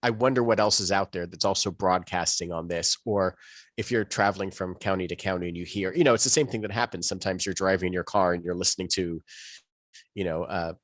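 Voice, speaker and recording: Low-voiced man, rambling podcast talk. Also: fast talker, fairly bright tone, no echo, studio quality. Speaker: podcast co-host. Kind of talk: casual podcast discussion.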